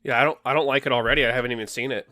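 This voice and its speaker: A man's voice: mid-range voice, fairly even intonation, rapid-fire, sharp tone, dry recording, clean studio sound. A man co-hosting a podcast with casual, wisecracking banter. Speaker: podcast co-host.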